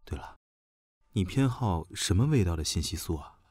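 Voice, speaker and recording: A man's voice: deep voice, expressive, normal speed, darkish tone, slightly rough. A man acting a teasing, clingy young male officer in an audio drama, coaxing his comrade playfully.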